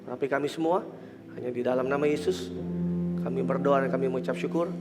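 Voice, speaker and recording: A man's voice: mid-range voice, fairly even intonation, fast, long reverb tail, music in the background. A man with an Indonesian accent and a congested, nasal voice, preaching a church sermon and leading prayer, mixing in English phrases. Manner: mixes English phrases into speech, rises to emphatic preaching; soft murmured prayer. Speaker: pastor. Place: large church hall.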